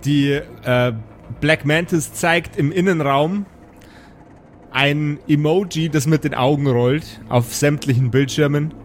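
A man's voice: a little high, takes it slowly, a little hiss or hum. A man narrating an improvised tabletop audio play as game master.

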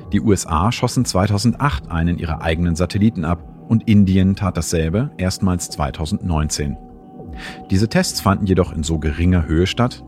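Deep-voiced male science narrator. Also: darkish tone. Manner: factual, carefully phrased. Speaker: host and science explainer. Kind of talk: scripted documentary narration.

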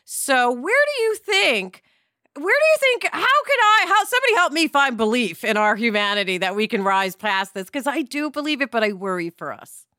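A woman's voice: a little high, sing-song, normal speed, bright, forceful tone, no room sound, clean studio sound. A woman hosting a podcast, talking in a casual, emphatic, conversational way and often checking in with the listener. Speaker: podcast host; television actress.

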